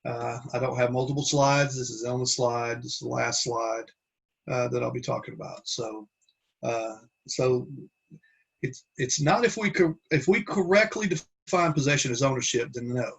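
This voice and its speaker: A man teaching a Bible class from slides, talking in a halting way with many restarts and fillers. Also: medium pitch, creaky, fairly bright tone, breathy edge.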